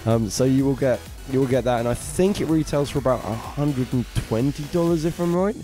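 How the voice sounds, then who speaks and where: A man with a raspy throat, co-hosting a casual gaming news roundup with eager, filler-laden chat. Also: mid-range voice, a little fast, warm tone, soft background music. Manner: enthusiastic, chatty, hesitant fillers. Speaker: co-host of gaming news show.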